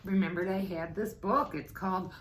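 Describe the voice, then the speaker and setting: An American woman, middle-aged, reading a children's story aloud expressively and pausing to talk to her young listeners. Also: fairly low pitch, steady tone, noisy background. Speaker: teaching assistant reading to children.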